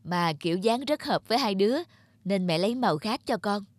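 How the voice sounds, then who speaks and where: A woman voicing a gentle, patient mother of young girls in a cartoon. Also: mid-range voice, expressive, fairly quick, no room sound, studio quality, natural voice. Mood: gentle explaining. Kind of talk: cartoon voice acting.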